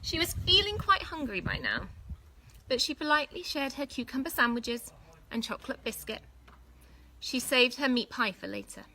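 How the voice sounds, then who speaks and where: A woman reading a storybook aloud for young children in an expressive, deliberate teacherly way. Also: high voice, slight background noise, low-quality recording. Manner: animated, deliberate, pausing to ask questions.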